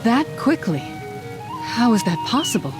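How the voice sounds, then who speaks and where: A woman's voice: a little high, big pitch swings, moderate pace. An actress playing a courteous, regal female admiral in a video game cutscene. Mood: surprised. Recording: music behind the voice.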